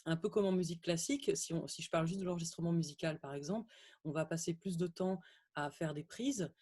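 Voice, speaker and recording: A woman's voice: on the low side, fast talker, mellow, breathy edge. A woman sound engineer on a panel over a video call, talking hesitantly with many fillers and growing emphatic. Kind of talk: technical panel discussion.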